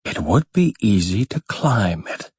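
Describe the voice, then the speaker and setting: A man's voice: on the low side, sing-song, a little slow, darkish tone, husky, dry recording, clean studio sound, full character voice. A man voicing a giddy, kind-hearted young boy thinking to himself in a fairytale. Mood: musing, hopeful. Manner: musing to himself.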